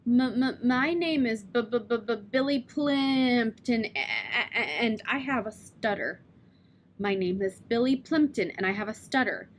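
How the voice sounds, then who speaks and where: A woman's voice: a little high, expressive, a little hiss or hum. Woman reading as a stuttering young boy. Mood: practicing. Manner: stuttering, slow and drawn out.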